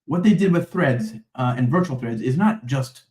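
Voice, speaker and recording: A man's voice: medium pitch, expressive, moderate pace, darkish tone, reverberant. A man hosting a live coding stream, rambling through the code with lots of fillers and checks like "okay?" and "right?". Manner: talks to a live chat while typing code. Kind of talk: live coding walkthrough, casual and chatty.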